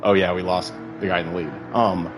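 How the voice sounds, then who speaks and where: A man's voice: low voice, flat delivery, brisk, music in the background. A man giving casual, rambling live commentary on an online sim race, full of fillers and asides.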